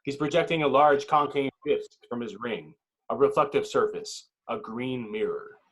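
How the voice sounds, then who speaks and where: A man's voice: mid-range voice, moderate pace, low-quality recording. A man reading stage directions as narrator at a table read, in dramatic, clipped phrases.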